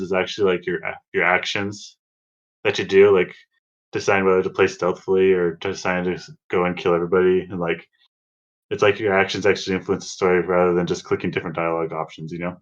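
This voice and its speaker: A man's voice: deep voice, steady tone, quick pace. A man co-hosting a podcast in casual conversation about video games, heard through a lo-fi microphone. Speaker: podcast co-host. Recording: dull, lo-fi microphone sound.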